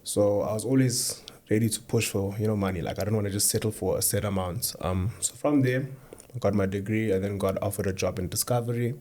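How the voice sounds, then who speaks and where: Chill young guy from south africa, lowish warm voice, easy laughs, repeats himself. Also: fairly quick. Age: young adult. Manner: relaxed, laughs easily, repeats phrases. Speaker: guest, trader. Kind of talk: casual interview chat.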